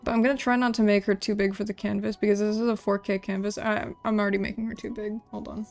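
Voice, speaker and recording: A woman with a noticeably creaky, fry-laden voice chats casually on a livestream. She rambles informally as she works, repeating little phrases while she talks to her chat. Light music plays quietly behind her. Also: medium pitch, level tone, fast talker. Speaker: livestreamer drawing and designing game characters.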